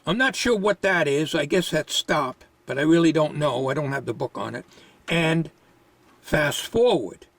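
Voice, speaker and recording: A man giving an informal, unscripted hands-on repair demonstration, thinking aloud in a halting way. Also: fairly high pitch, animated, gravelly, no room sound.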